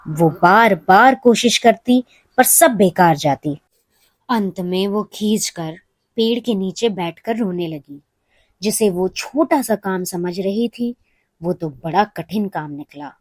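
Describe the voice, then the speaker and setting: A woman narrating a scripted moral story for children in an expressive storytelling manner. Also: mid-range voice, no echo.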